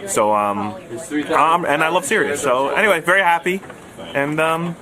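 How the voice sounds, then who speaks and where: Jokey man answering over clear crowd chatter. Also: fairly high pitch, moderate pace. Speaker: show staff member being interviewed. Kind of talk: casual joking interview answers. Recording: noisy room with crowd chatter.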